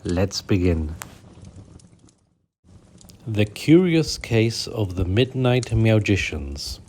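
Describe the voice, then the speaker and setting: A man hosting a children's bedtime story show, narrating in a gentle, soothing, unhurried way. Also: lowish voice, big pitch swings.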